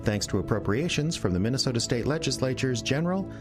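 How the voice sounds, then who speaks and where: A man's voice: mid-range voice, expressive, quick pace, over music. A man hosting and announcing a radio drama show, reading credits and an earnest appeal to listeners. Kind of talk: scripted announcement.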